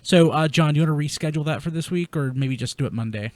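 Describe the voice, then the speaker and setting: A man with a slightly high voice hosts an informal panel talk. He is blunt and conversational, and the recording is very dry with no room sound. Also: fast talker, dry recording. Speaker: podcast host. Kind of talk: casual panel chat.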